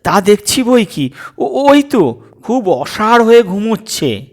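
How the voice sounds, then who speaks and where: A man's voice: high-pitched, very animated, slow, darkish tone, pitched above the performer's own voice, full character voice. A man voicing a meek, evasive young student son in a comic audio story, hesitant and placating.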